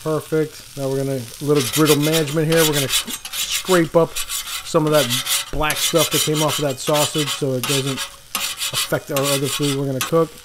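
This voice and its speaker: A man's voice: on the high side, moderate pace, very noisy. A man hosting a casual cooking video outdoors by a lake, talking loosely while he cooks, correcting himself and chuckling at small mistakes. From Florida. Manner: relaxed, rambling, self-correcting, chuckles at mistakes. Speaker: cooking channel host. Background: sizzling food on a griddle.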